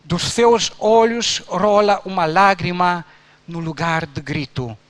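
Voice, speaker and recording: Slow, high-voiced pastor from Romania. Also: male voice, fairly even intonation, fairly bright tone, dry recording. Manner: slow, emphatic recitation of long verb lists. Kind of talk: church speech, reciting a poem.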